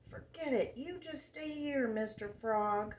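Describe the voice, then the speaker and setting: A woman's voice: on the high side, slow, voice pushed up. A woman voicing a bossy young boy from a picture book, calling out emphatically, in a storytelling read-aloud. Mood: exasperated yelling. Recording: roomy echo, faint background noise.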